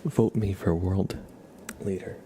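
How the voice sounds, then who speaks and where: A man hosting an ASMR livestream, speaking softly and casually to viewers in a gentle, rambling, hesitant way. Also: steady tone, takes it slowly, a little hiss or hum. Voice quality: soft, breathy whispering with creaky low undertone. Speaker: livestream host.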